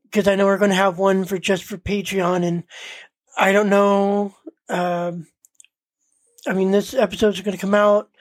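A woman co-hosting a podcast in casual, unscripted talk, speaking hesitantly and often pausing mid-sentence. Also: medium pitch, no echo. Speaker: podcast co-host.

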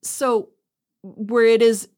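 A woman's voice: fairly high pitch, fairly even intonation, drawn-out words, mellow. A woman talking casually and reflectively as a podcast host, explaining things through her own experience. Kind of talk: casual conversational talk.

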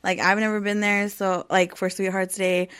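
A woman's voice: mid-range voice, level tone, normal speed, fairly bright tone, clear, resonant tone. A woman in her thirties hosting her own podcast, chatting casually and rambling, with lots of "um" and "like.". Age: 30s. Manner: rambling, heavy with "um" and "like". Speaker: podcast host. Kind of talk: casual solo podcast chat.

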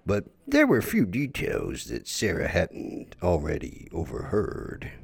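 Deep, gravelly storytelling gravedigger played by a man. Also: huge pitch swings, slow pace, no room sound, full character voice. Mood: wry, ominous. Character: male small-town gravedigger narrator of eerie tales. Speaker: narrator. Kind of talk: folksy scripted story narration.

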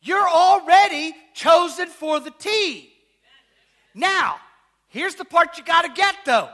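Pastor, male, unusually high and bright voice, casual and joking, quizzes the congregation, bursts into shouts. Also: slow pace. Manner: animated, rising to shouts, asks the congregation questions. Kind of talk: sermon.

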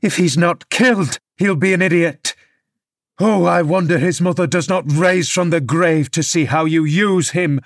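A man's voice: a little high, a little slow, no echo, studio quality, pitched above the performer's own voice. A man voicing an indignant middle-aged woman housekeeper who scolds her master.

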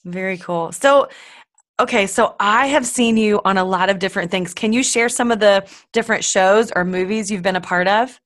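An enthusiastic woman hosts a podcast, her voice sitting at a medium pitch. She encourages her guest with eager, supportive remarks. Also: normal speed. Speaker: podcast host. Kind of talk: casual interview chat.

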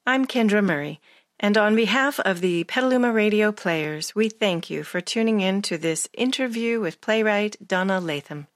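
A woman's voice: mid-range voice, normal speed, no room sound, clean studio sound. A woman hosting an interview in a formal, courteous, carefully worded way, as if from prepared questions. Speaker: interviewer and director.

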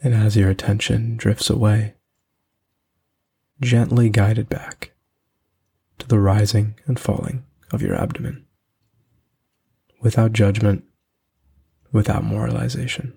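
A man hosting a podcast and leading a guided meditation in a soothing, unhurried way with long pauses. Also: on the low side, steady tone, vocal fry, dark tone, breathy edge.

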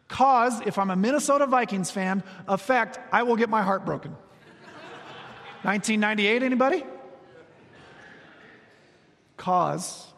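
A man in his forties preaching a sermon, telling stories with humor and moving between soft, slow passages and quick, lively ones. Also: sits high. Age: 40s. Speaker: pastor. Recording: long reverb of a large hall.

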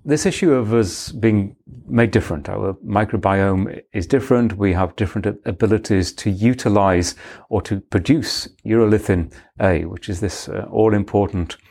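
Gravelly male interviewer. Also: on the low side, normal speed, darkish tone. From Europe. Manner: engaged, summarizing questioner. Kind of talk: interview questions.

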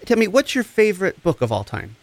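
A man's voice: sits high, huge pitch swings, brisk, no echo, heavily acted. A man acting the part of a compassionate recovery coach in an audio drama, tender, soothing and earnest. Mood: encouraging, instructive. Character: compassionate, gentle, encouraging recovery coach and friend.

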